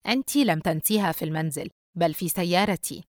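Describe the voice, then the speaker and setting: A woman's voice: fairly low pitch, quick pace, dry recording, clean studio sound, close to an everyday voice. A woman as a cold, taunting teenage brother. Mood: mocking. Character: callous, mocking teenage brother, sly and indifferent. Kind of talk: dramatised audiobook dialogue.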